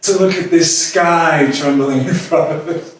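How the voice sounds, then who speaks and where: A man performing a staged dramatic monologue as a weary, lovesick, despairing man, speaking in halting, broken phrases with long pauses. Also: on the high side, steady tone, close to an everyday voice. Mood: hushed wonder. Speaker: stage actor. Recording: roomy echoing stage, distant mic, faint noise.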